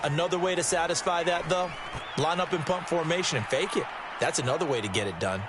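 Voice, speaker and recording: A man's voice: fairly high pitch, quick pace, crowd noise, noisy background, natural voice. A man with an American accent giving conversational color commentary for an American football video game. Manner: conversational analyst delivery.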